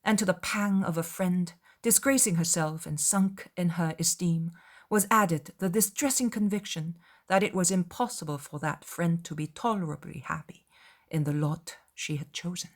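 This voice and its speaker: Woman reading an old novel as audiobook, lowish voice, measured but puts drama on key words. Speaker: audiobook narrator. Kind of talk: dramatized literary narration.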